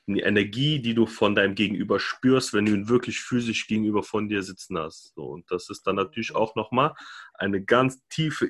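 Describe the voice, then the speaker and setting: A man's voice: fairly low pitch, a bit bright. A man speaking in a loose, rambling way with many fillers during a casual discussion.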